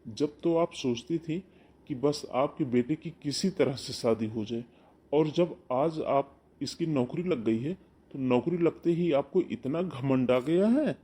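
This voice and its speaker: A man's voice: mid-range voice, measured pace, dark tone, breathy edge. A man voicing a firm elder brother. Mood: reasoning. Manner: firm, lecturing.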